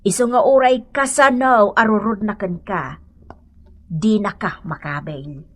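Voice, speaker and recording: A woman's voice: medium pitch, unhurried, natural voice. An actress with an Ilocano accent playing an older woman earnestly counselling a young wife in a radio drama, in an emphatic, motherly, admonishing manner. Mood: earnest advising.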